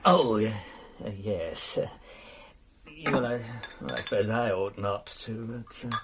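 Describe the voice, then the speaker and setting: A man's voice: fairly low pitch, measured pace, a crowd in the background. An actor playing a fussy, awkward male police superintendent in a radio drama, dithering and hesitating as he talks.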